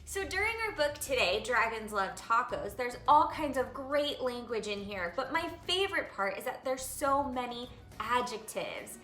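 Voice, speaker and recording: A woman's voice: a little high, animated, moderate pace, hardly any pauses, pushed and bright, soft background music. A woman hosting a read-aloud lesson for young children, speaking to them directly in simple words, as a teacher reading a picture book. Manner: addresses children directly with simple explanations and questions.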